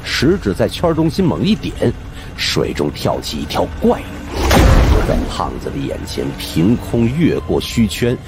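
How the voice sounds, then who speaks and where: A man's voice: fairly low pitch, huge pitch swings, normal speed. A man narrating supernatural suspense fiction as a dramatic audiobook reader. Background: background music.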